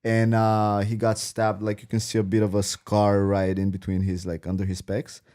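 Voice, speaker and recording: A man's voice: fairly low pitch, completely flat delivery, moderate pace, darkish tone, clean tone. A man from Bulgaria talking casually and in slang as a podcast panelist in a sports discussion. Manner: slangy, informal.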